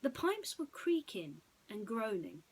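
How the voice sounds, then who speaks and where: A woman's voice: a little high, very animated, a little slow, breathy edge. Woman telling a suspenseful bedtime story.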